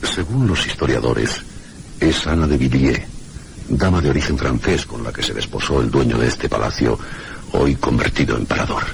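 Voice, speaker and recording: An actor with a Castilian Spanish accent playing a mysterious, scholarly gentleman guide in a radio drama, speaking formally and deliberately in a gravelly voice. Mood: solemn, insinuating. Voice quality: very deep, gravelly. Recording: noisy radio drama recording.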